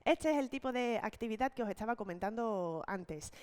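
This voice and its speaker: A woman leading an online teacher-training talk, explaining step by step and often checking in with quick tag questions. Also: fairly high pitch, lively intonation, a little mellow, clear, resonant tone. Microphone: video call.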